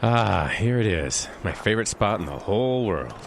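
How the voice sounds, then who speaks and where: A moody outdoorsy man in a radio drama, really low voice, swings around a lot, noisy behind. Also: sing-song, normal speed, vocal fry. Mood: fond. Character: brooding, self-assured man driving into mountain snow. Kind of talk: radio drama acting with narrated inner monologue.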